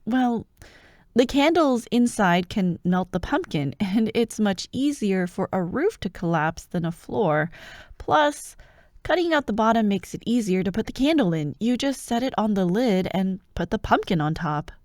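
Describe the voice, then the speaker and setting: A woman playing a bubbly, bossy young childhood friend who loves Halloween, in an animated, teasing ASMR roleplay. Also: mid-range voice, a little slow, no echo, clean studio sound, natural voice. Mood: enthusiastic, explaining. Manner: animated, expressive, teasing, with laughs and sighs. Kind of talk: ASMR roleplay, one-sided acted conversation.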